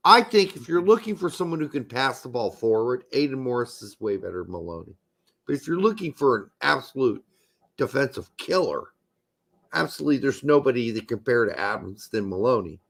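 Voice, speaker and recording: Hoarse, gravelly-voiced man arguing soccer. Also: medium pitch, big pitch swings, fairly quick. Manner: emphatic, argumentative, swears. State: hoarse, losing his voice. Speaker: podcast co-host. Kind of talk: casual soccer talk.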